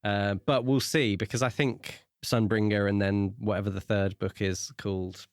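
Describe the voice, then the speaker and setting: Low-voiced man, dry close sound. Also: little pitch movement, normal speed, no room sound. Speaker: podcast co-host. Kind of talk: casual book discussion.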